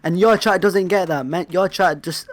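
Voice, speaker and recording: A man's voice: high voice, moderate pace. A congested man with a blocked nose, arguing defensively in a heated online debate. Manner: defensive, argumentative, repeats "man". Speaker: debate guest.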